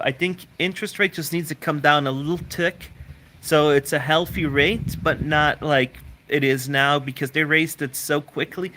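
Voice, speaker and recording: Bright-voiced man rambling through live Q&A. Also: fairly high pitch. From Switzerland. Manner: rambling, repeats words, frequent restarts. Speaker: livestream host answering viewer questions. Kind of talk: casual live Q&A chat.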